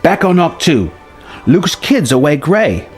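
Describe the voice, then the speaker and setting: A man's voice: a little high, big pitch swings, slow, faint music behind. A man reading a scripted story treatment aloud as a narrator, with emphatic, dramatic storytelling delivery.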